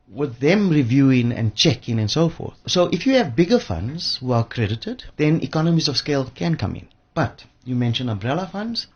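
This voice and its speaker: A man's voice: on the high side, slightly rough, highs cut off. A man speaking as an expert guest in a conversational radio interview, emphatic and repeating words for stress. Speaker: studio guest, pension fund expert.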